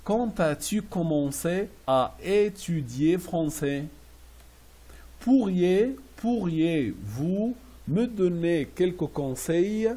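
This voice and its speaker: A man teaching French classroom phrases, repeating each slowly and translating it into English. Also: high-pitched, lively intonation, warm tone. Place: Riyadh.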